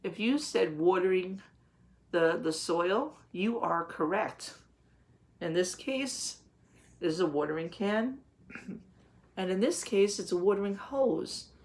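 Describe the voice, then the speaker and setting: A woman in her thirties, a teacher, reading a picture book aloud to young children and explaining words simply, with gentle questions. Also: lowish voice, slow pace, pauses often. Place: small home office, indoors.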